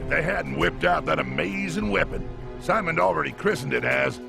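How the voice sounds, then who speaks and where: An actor playing a gruff, boastful older military colonel who likes giving orders. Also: male voice, fairly high pitch, moderate pace, over music. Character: blustering older American male military colonel. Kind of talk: video game cutscene acting.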